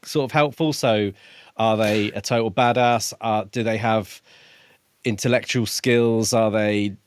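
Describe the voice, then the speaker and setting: A man co-hosting a podcast, discussing books casually in a measured, orderly way. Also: fairly low pitch, fairly even intonation, clear, resonant tone, no echo, clean studio sound. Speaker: podcast co-host.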